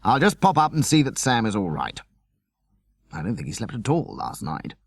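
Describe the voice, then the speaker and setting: A man voicing a brisk, grumbling father who takes charge and is easily exasperated, in a children's audiobook. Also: mid-range voice, wildly swooping pitch, gravelly, slightly rough, no echo, full character voice.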